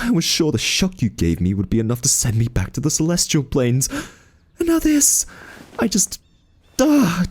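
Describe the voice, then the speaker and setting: A man's voice: mid-range voice, darkish tone. A man playing an exasperated, protective guardian angel in an ASMR roleplay, with a scolding, flustered, huffy manner. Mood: frustrated, protective. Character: exasperated, fussy, protective male guardian angel, rule-bound and tsundere. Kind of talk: ASMR roleplay audio drama addressed to the listener.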